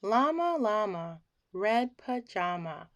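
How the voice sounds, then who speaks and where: A woman reading a children's bedtime story aloud in an expressive, theatrical way that acts out the emotions in the words. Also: medium pitch, slow, lo-fi sound.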